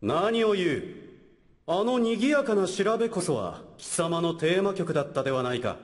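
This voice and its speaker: A grandiloquent young prosecutor in a staged courtroom comedy. He is formal and haughty, with dramatic swings of pitch in a reverberant concert hall. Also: sing-song, normal speed. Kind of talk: staged comic courtroom skit.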